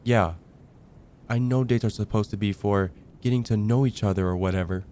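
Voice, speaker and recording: A young man's lowish, very soft-edged voice, acted as a casual heartbreaker friend in an ASMR roleplay. He talks conversationally and hesitantly, with sighs and trailing pauses. A faint thunderstorm rumble sits behind him. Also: natural voice. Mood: let down. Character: casual young male heartbreaker friend confiding about dating.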